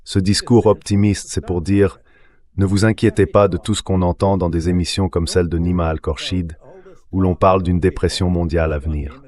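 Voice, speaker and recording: A man's voice: sits low, steady tone, moderate pace, darkish tone. A synthetic male voice reading translated interview commentary, explaining things by posing questions and answering them. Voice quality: synthetic text-to-speech voice. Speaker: political and economic commentator, interview guest.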